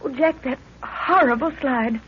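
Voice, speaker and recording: A woman's voice: high voice, measured pace. An actress playing an anxious, earnest young woman, an explorer's daughter, in a 1930s radio adventure. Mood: shaken, plaintive. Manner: earnest, dramatic. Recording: hissy muffled 1930s radio recording.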